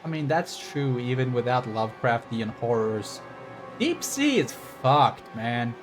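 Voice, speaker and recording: A man's voice: medium pitch, sing-song, unhurried. Wry male game streamer over background music. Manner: wry, casually profane. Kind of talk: casual rambling playthrough commentary.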